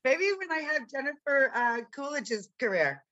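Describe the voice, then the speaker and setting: Woman with a deep, raspy, bright voice. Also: expressive, moderate pace, highs cut off. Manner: self-deprecating, chatty storyteller. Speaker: podcast guest, actress. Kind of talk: casual chat.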